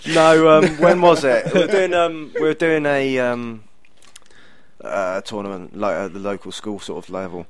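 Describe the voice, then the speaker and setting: A man's voice: medium pitch, normal speed, crowd noise. A young man with a Milton Keynes accent, telling stories in a laid-back, sweary casual chat. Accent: English, Milton Keynes.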